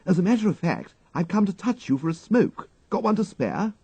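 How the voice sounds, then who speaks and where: A man voicing an excitable, flustered young upper-class English gentleman with an exclamatory manner and dated slang, in an audiobook. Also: high voice, a little fast, breathy edge, no room sound, pitched above the performer's own voice, full character voice. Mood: breezy, eager.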